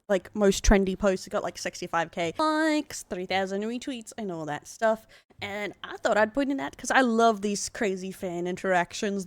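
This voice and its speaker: A woman's voice: medium pitch, a little mellow, no echo. A woman with an Australian accent, chatting casually as a VTuber reacting to fan posts, laughing often and amused. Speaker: VTuber commentator.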